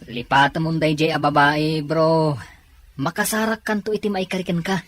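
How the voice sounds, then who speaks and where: A woman playing a frank, consoling, brotherly confidant with a husky voice in a drama. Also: sits low, steady tone, normal speed. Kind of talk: acted dialogue drama.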